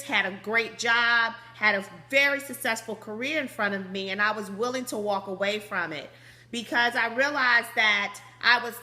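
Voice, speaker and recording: A woman in her thirties with a high, slightly squeaky voice, hosting a business conference call in an upbeat, chatty way over a phone line. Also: pushed and bright. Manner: bubbly, laughs often, chatty. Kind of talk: upbeat motivational call talk.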